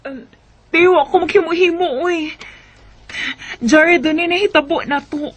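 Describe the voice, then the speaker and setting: A woman's voice: extremely high pitch, measured pace, a bit bright. A woman with a Cebuano Filipino accent playing an insistent young woman confronting an old lover in an amateur radio drama. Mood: hurt, insistent.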